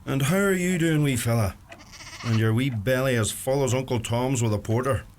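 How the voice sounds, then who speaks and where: An actor playing a gentle, thoughtful young farmer with a Donegal Irish accent in a radio play. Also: male voice, mid-range voice, normal speed, noisy background, lightly acted. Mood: fond, modest. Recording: music under the voice.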